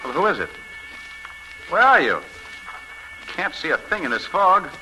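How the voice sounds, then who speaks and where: An actor playing an eager, flirtatious newspaper reporter in 1940s radio style, brisk and persistent. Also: male voice, fairly high pitch, sing-song, over music. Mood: puzzled, friendly. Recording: noisy vintage 1940s radio recording.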